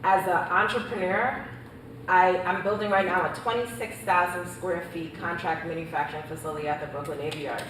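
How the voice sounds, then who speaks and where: A woman's voice: lowish voice, steady tone, fairly quick, fairly bright tone. A woman entrepreneur, speaking confidently and emphatically on a panel, addressing the audience directly. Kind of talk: panel discussion answer. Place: panel event in a hall. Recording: echoey room, distant mic, background noise.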